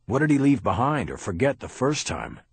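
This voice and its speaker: A man voicing a gruff, blunt police detective. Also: medium pitch, huge pitch swings, a little fast, pitched above the performer's own voice, full character voice. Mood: stern. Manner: blunt, curses, barks orders. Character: gruff tough middle-aged male police detective. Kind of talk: audiobook character reading.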